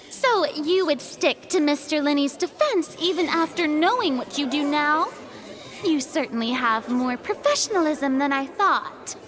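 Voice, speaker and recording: Smug young female deity, game role: high, swooping, unhurried, pompous and mocking. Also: animated, crowd noise. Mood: triumphant. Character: haughty, gloating young female deity relishing a courtroom show. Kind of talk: theatrical video game voice acting.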